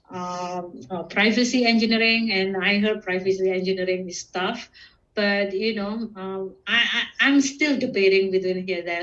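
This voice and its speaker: A woman from Indonesia with an Indonesian accent, giving casual, halting interview answers as a podcast guest. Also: medium pitch, a bit bright. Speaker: podcast guest, security professional and student. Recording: muffled, distant microphone.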